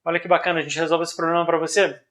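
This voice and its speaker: A Brazilian man with a fairly high-pitched voice explains business ideas informally. He speaks in a didactic but conversational manner, like a presenter talking directly to the listener, and often adds small tags to check they are following. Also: level tone, racing, a bit bright. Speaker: presenter of a business analysis video.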